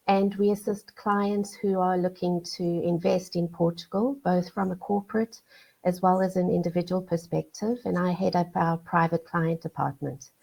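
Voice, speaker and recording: A woman's voice: fairly low pitch, gravelly, mellow, poor audio. A woman from South Africa with a South African accent, a lawyer formally introducing herself on a webinar panel.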